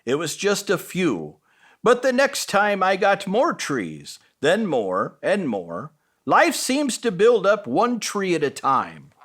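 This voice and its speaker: A friendly delivery truck from a children's storybook, voiced by a man. He is obliging, modest and encouraging, and he speaks at a slow pace with animated pitch. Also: exaggerated voice. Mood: modest, reflective.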